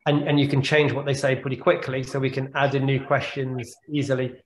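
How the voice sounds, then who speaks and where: A man's voice: mid-range voice, little pitch movement, fairly quick, slight background noise. A man in his forties, a neurologist, answering audience questions on a video call, thoughtful and often repeating words as he thinks. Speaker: guest speaker, neurologist. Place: home room on a video call. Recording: echoey video-call audio.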